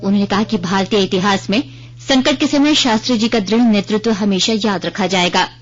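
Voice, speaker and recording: A woman reading a scripted radio news bulletin in a formal, measured way. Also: medium pitch, highs cut off.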